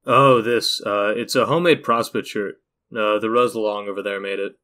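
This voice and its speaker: A man voicing a laid-back, deadpan cool young guy in a cartoon dub. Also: fairly low pitch, normal speed. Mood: unbothered. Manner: deadpan, unbothered drawl. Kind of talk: animated web comic dub acting.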